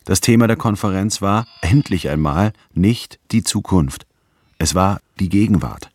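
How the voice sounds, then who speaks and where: A male actor playing a reflective, wry anthropologist who narrates a German radio drama in a measured, precise way. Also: low-pitched, expressive, no room sound.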